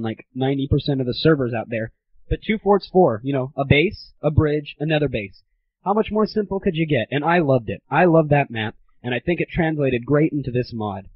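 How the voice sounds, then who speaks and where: Irreverent young man, slightly high, lo-fi. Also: slightly rough. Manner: irreverent, profane, slangy. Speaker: host of a gaming audio show. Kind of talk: casual opinionated review monologue. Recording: slightly dull lo-fi home recording.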